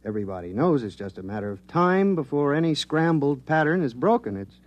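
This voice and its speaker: A man's voice: on the high side, expressive, brisk, dull audio, natural voice. An actor playing an earnest, modest male government scientist who explains technical matters hesitantly, in 1950s radio drama style. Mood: matter-of-fact.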